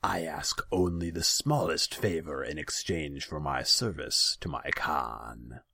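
A man voicing a sly, mocking feline demon with a slow, rumbling growl and breathy whisper. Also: pushed and bright, no echo, studio quality, exaggerated voice. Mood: amused, mocking. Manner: slow, mock-deferential.

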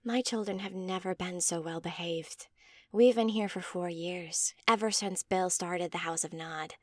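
A woman voicing a devoted, eager-to-please mother in a story, gushing and persuasive, with breathy emphasis. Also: fairly low pitch, a little slow, darkish tone, no room sound, studio quality. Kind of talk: scripted story dialogue.

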